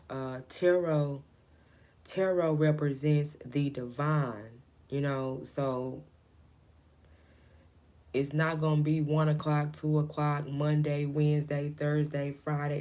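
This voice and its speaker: Soft-spoken woman reading tarot, low calm voice. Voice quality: low, light, calming voice. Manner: soft, low and slow, talking to spirit and the client. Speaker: tarot reader. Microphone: camera mic, no lapel mic.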